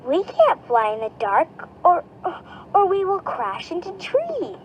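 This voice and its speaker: A very high, childlike young female bat, earnest and eager. The words come slowly and swing widely up and down, in expressive storybook style. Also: a little hiss or hum, heavily acted, poor audio. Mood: worried. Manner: childlike, expressive, drawn-out delivery.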